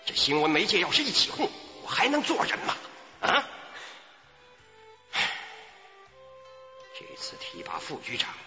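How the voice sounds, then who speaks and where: An actor playing an anxious, self-serving company boss in a radio drama, hesitant and self-pitying, with sighs. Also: male voice, sits high, wildly swooping pitch, measured pace, pauses often, bright, forceful tone, exaggerated voice. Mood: worried, reproachful. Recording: muffled, reverberant hall sound, faint music.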